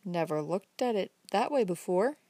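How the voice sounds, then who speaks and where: A bitter young drifter, as a woman reads him, answering curtly and keeping his guard up. The voice stays low-key in a dry, close storybook recording. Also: lively intonation, normal speed, warm tone, no room sound, natural voice. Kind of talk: audiobook character reading.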